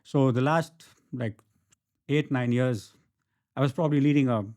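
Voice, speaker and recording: Mellow-voiced middle-aged Indian man, emphatic storyteller. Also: mid-range voice, normal speed. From Bangalore, India. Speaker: interview guest, entrepreneur and author. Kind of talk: conversational interview talk.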